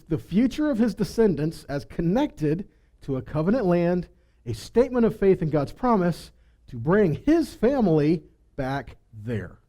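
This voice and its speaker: High-pitched man teaching scripture. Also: mellow. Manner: poses rhetorical questions and checks in with listeners. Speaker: Bible teacher. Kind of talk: teaching sermon with scripture readings.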